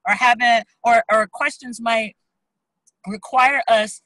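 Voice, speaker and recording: Bright, dry-sounding woman explaining. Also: on the high side, steady tone, no echo, rough recording. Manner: explanatory, quick bursts, encouraging questions. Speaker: co-host of a discussion salon. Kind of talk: casual group discussion. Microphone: online video call.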